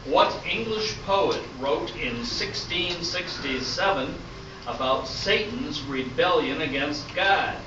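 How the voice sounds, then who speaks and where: A man's voice: fairly high pitch, lively intonation, slow. A man playing an upbeat, patient game show host in an amateur comedy radio play, with a showy announcer delivery. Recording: roomy, distant, noisy dull stage recording.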